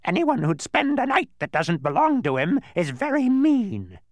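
Storybook villain-pest: a filthy old bird, high shrieking, wildly swooping, haughty and gleefully pedantic. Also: male voice, huge pitch swings, normal speed, no echo, heavily acted.

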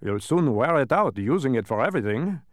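A man voicing a fussy, pedantic, excitable dodecahedron creature in a storybook, with an emphatic, scolding manner. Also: mid-range voice, a little fast, no echo, clean studio sound, voice pushed up, lightly acted. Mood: brisk, boastful. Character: fussy, exacting many-faced dodecahedron, male, excitable.

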